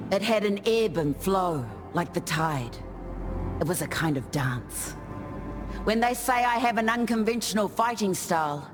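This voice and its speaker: A man voicing an easygoing island warrior in a video game, speaking in a measured, reflective and lyrical way. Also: high voice. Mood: serene pride, then proud. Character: easygoing, graceful island warrior spirit who dances through battle. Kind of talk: scripted game character monologue.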